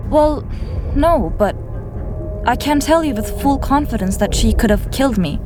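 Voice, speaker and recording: A woman's voice: high-pitched, expressive, moderate pace, music in the background. A woman playing an earnest young woman of firm moral conviction in an audio drama, persuasive and emphatic. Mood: pleading, earnest.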